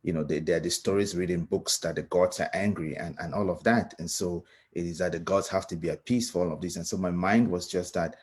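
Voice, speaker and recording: A man from Cameroon with a Cameroonian accent, giving a reflective personal talk as a guest speaker in a halting, thoughtful, earnest manner. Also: sits low, fairly even intonation, slightly rough.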